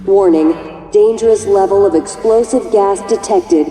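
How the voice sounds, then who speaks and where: A woman voicing a station safety system in a game, reading evacuation warnings in a measured, impersonal way, in an echoey hall. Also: mid-range voice, dark tone, faint music behind, lightly acted. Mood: calm. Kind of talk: automated evacuation announcement. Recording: echoey hall with long reverb.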